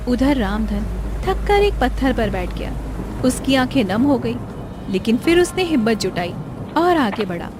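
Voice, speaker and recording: A woman's voice: fairly high pitch, sing-song, measured pace, background noise. A woman reading scripted narration for a cartoon moral story. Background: background music.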